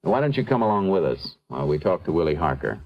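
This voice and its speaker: A stern, low-voiced police detective. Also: male voice, sing-song, fast talker, lo-fi sound, very noisy. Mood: matter-of-fact. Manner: terse, matter-of-fact, pressing. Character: stern, businesslike American police detective, persistent questioner. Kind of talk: vintage radio crime drama acting.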